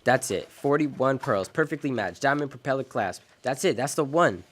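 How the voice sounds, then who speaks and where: An actor playing a world-weary, decent American private detective in an old-time radio drama, wry and clipped. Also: male voice, medium pitch, lively intonation, normal speed, close to an everyday voice. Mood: matter-of-fact. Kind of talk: 1940s detective radio drama, first-person narration and dialogue.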